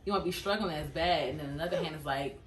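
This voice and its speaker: A woman's voice: deep voice, quick pace. A woman in her twenties talking casually and thoughtfully about life in her twenties. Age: 20s. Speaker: interviewee. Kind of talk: casual reflective talk. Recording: roomy, distant mic, faint hiss.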